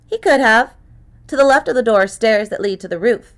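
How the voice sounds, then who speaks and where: Woman acting precocious, sarcastic little girl, high-pitched. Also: a little fast, natural voice. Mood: curious, assured. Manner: clear, calm, worldly beyond her years. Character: precocious young girl, theatrical, cynical, sarcastic. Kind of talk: acted audiobook dialogue.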